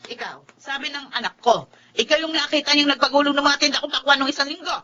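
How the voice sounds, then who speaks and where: An actress with a Filipino accent playing a furious, quarrelsome market vendor mother in a radio drama, shrill and scolding. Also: fast, sharp tone. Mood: accusing. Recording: dull audio with music underneath.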